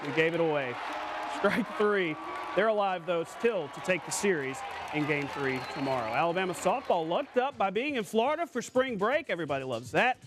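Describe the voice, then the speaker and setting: A man anchoring a local sportscast, reading scripted highlights in punchy, clipped calls. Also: expressive, brisk, crowd noise.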